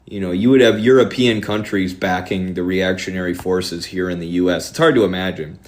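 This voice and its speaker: Midwestern man, lowish voice, streamer, rambling and sardonic. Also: fairly even intonation, a little mellow. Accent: Midwestern American. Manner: rambling, fast-shifting, sardonic. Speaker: political streamer. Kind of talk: casual live-stream political talk.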